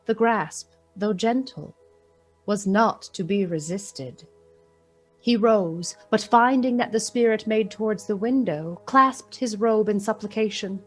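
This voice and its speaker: A woman playing the storyteller of a Victorian ghost tale, with measured, literary, expressive delivery in a radio play. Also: mid-range voice, soft background music, natural voice. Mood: measured, wondering. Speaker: narrator.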